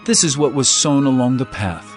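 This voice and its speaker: An actor playing an earnest male teacher of parables in a dramatized scripture reading, with measured, emphatic, declamatory phrasing. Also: mid-range voice, animated, faint music behind, natural voice. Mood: earnest, solemn.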